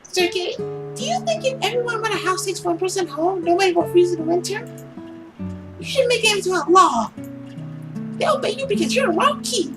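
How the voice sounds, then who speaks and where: A man's voice: very high voice, expressive, a little fast, music in the background, voice pushed up. A man voicing a blunt, bold little girl from a noble family in a visual novel, childlike and cheeky. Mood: cheeky, eager. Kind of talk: visual novel character read-aloud.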